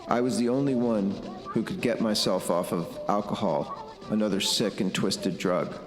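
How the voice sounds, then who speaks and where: Middle-aged man, deliberate and halting podcast monologue, lower register, heavy echo over a music bed. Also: fairly even intonation. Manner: halting, deliberate, repeats phrases for emphasis. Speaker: podcast host. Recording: echoey long reverb, music underneath.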